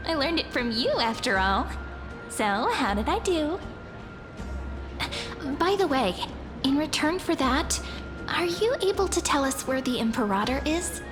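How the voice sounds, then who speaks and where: A woman's voice: sits high, lively intonation, a little slow, long pauses. A woman voicing a perky, friendly, chatty young female adventurer in a video game. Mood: playful. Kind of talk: anime-style video game voice acting. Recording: long reverb, music behind.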